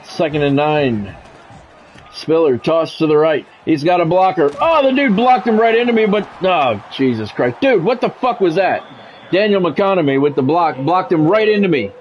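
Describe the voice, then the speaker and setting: A man's voice: on the high side, lively intonation, normal speed. A middle-aged man doing sports video game play-by-play with blunt, sweary, exasperated reactions. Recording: dull lo-fi audio, faint background noise.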